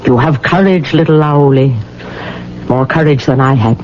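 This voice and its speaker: A woman acting in a 1970s radio drama as a proud, stern middle-aged Hawaiian queen, speaking gravely and deliberately. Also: rumbling low, darkish tone. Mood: grave respect. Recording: muffled, noisy old radio broadcast.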